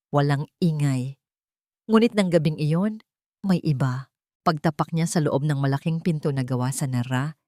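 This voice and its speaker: A woman's voice: deep voice, darkish tone, no echo, studio quality. A woman reading a scripted dramatic story as its narrator.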